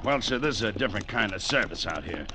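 An actor playing a loyal, plainspoken cavalry first sergeant in an old radio drama, respectful and matter-of-fact. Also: male voice, fairly low pitch, lively intonation, quick pace, close to an everyday voice. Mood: patient, respectful. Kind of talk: 1940s-50s radio acting. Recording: faintly noisy old radio recording.

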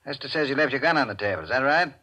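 A forceful, brusque police inspector. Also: male voice, mid-range voice, expressive, rapid-fire. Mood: brusque, probing. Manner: brusque, clipped interrogation. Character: gruff, skeptical police inspector questioning suspects. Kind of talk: 1940s radio crime acting.

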